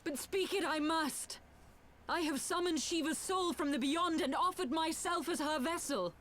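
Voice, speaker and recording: A woman's voice: very high voice, moderate pace, a bit bright. An actress playing a devout, lovelorn young woman in a fantasy game, speaking earnestly and theatrically in archaic language. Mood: fervent, devoted. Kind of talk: dramatic fantasy game acting.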